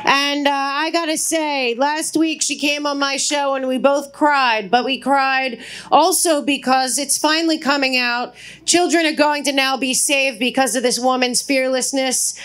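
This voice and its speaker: A woman's voice: sits high, fairly even intonation, a little slow, fairly bright tone. A woman hosting a live event, enthusiastically introducing the next speaker to the crowd. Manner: enthusiastic, effusive praise.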